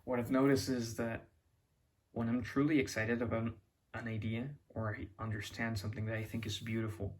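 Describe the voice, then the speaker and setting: A man's voice: on the low side, little pitch movement, normal speed, a little mellow, low-quality recording. A young man with a Mexican accent talking to camera in an unscripted, reflective ramble, often restarting and hunting for words. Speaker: YouTuber.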